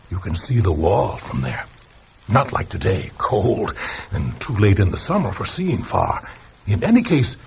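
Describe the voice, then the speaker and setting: An actor playing a talkative, opinionated old philosopher in a radio drama, musing theatrically with rhetorical questions. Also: male voice, on the low side, vocal fry, darkish tone, slightly rough, full character voice. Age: older. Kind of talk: vintage-style radio drama acting. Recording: dull lo-fi radio drama recording. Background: music.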